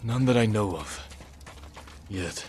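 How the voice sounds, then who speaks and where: An intense, haunted young samurai, widely swinging pitch. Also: male voice, expressive, slow, a little mellow, slight background noise. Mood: stoic, guarded. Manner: terse, clipped sentences. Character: haunted, guilt-ridden young samurai lord, earnest and stoic. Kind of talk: video game voice acting.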